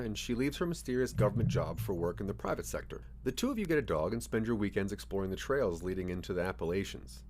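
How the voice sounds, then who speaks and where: Low-pitched male audio drama narrator. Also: darkish tone. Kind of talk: scripted second-person audio drama narration.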